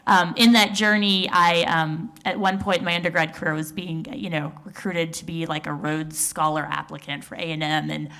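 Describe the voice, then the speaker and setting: A woman in her forties speaks from a conference stage in a somewhat low voice. She tells her story earnestly and reflectively, with small fillers along the way. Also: steady tone, normal speed, hardly any pauses, bright, forceful tone. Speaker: researcher on a panel. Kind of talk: conference panel talk.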